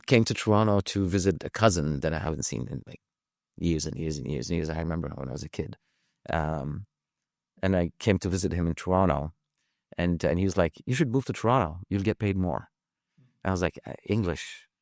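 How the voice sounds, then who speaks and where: Low-voiced man telling his story. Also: creaky, a little mellow, no room sound, clean studio sound. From Lebanon. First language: French. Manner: halting, many restarts and fillers, swears casually. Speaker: podcast guest. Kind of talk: casual storytelling.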